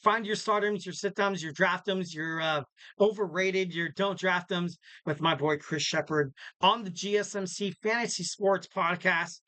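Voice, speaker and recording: A man's voice: sits high, pushed and bright, no room sound. A young man hosting a casual solo podcast, rambling and joking with lots of fillers and restarts. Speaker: podcast host.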